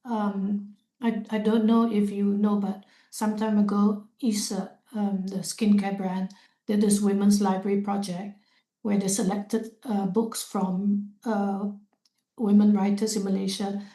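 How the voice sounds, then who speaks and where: A woman's voice: medium pitch, little pitch movement, unhurried, warm tone, roomy sound, poor audio. A woman hosting an online poetry discussion who talks in a hesitant way with many fillers. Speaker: host.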